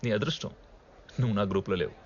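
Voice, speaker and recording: An actor playing an arrogant, taunting champion archer in a film drama. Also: male voice, lowish voice, rapid-fire, lightly acted. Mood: smug, taunting. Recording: dull film audio with music.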